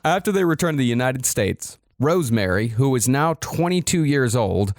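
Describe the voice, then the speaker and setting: A podcast host casually recounting a history story, conversational and swearing now and then. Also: male voice, medium pitch, sing-song, moderate pace, no room sound, clean studio sound.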